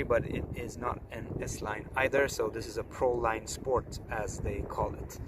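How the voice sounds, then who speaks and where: A young man with a Swedish accent, chatting casually and upbeat about his new car in a vlog. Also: fairly low pitch. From Sweden. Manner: chatty, upbeat, self-deprecating asides. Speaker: car vlogger. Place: outdoors at a fuel station and by a car. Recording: outdoor wind and ambient noise.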